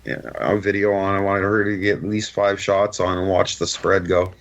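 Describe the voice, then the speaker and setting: A man's voice: deep voice, steady tone, moderate pace, muffled recording. A middle-aged man, a father, chatting casually as an interview guest. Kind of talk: casual chat.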